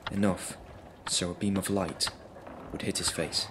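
An actor playing a cunning, sinister murderer in a gothic audio drama monologue, in halting, deliberate phrases. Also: male voice, deep voice, little pitch movement. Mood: menacing, secretive. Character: cunning, sinister murderer recounting his stealthy crime. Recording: clear background noise.

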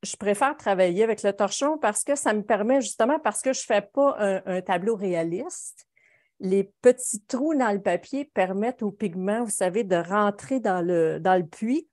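A woman's voice: medium pitch, moderate pace, darkish tone. A middle-aged woman with a Quebec French accent gives an informal live painting lesson. She explains her method in a chatty, conversational way, speaking from her studio over a video call. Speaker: watercolour painting instructor. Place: home studio, indoors.

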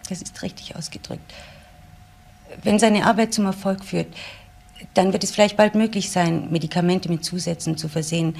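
An actress playing a loyal, earnest woman with a measured, firm delivery in a crime radio play. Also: on the low side, little pitch movement, vocal fry, darkish tone. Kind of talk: radio crime drama acting.

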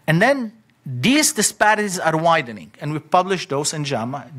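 A middle-aged man giving an academic conference talk in short, halting phrases with frequent fillers. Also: a little high, a bit bright. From Lebanon. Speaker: conference speaker. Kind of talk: academic slide presentation.

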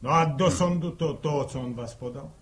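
A man's voice: a little high, normal speed, natural voice. Mild, curious village man played by an actor. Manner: hesitant, questioning. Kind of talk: 1960 radio drama acting.

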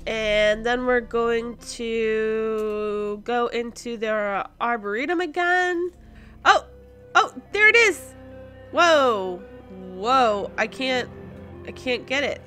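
Woman, game show host for let's plays, bright high voice, casual, slow-paced, thinking out loud, exclamatory. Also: expressive, faint music behind. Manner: thinks aloud, exclaims in surprise. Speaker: host of a gaming series. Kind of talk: casual let's play commentary.

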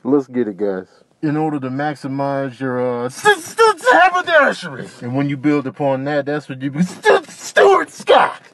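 A man's voice: on the high side, huge pitch swings, moderate pace, a bit bright, no echo, heavily acted. A man voicing a flustered, easily exasperated bear mascot giving stammering sales patter in a comic voice-over.

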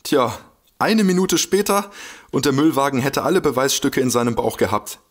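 An actor playing an excitable, boastful detective with a husky voice in a comedy mystery audio drama. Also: male voice, mid-range voice, normal speed, close to an everyday voice. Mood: proud, explaining. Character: excitable, boastful male detective eager to explain. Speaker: amateur detective.

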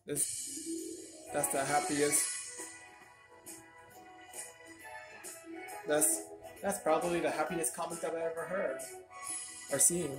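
Someone doing an excitable kid turtle plush for a reaction vid, lots of repeated exclamations, music going behind. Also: mid-range voice, close to an everyday voice, low-quality recording. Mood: amazed. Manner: exclamatory, repetitive, halting. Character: young male Bowser Junior plush reacting, excitable, earnest. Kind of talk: reaction video commentary. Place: indoor room at home.

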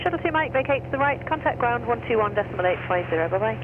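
A woman's voice: a little high, expressive, very fast. A woman working as an air traffic controller, giving clipped instructions over the radio. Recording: phone-like aircraft radio with clear noise.

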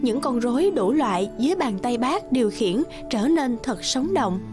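A woman's voice: a little high, animated, moderate pace, music in the background. A woman narrating a scripted fairy tale for children.

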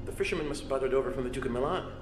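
A man's voice: medium pitch, rapid-fire, close to an everyday voice. An actor playing an anxious, brainy young American man in a horror game, hesitant as he thinks aloud. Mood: matter-of-fact. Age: young adult. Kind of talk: video game dramatic acting. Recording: echoey metal hall, loud music.

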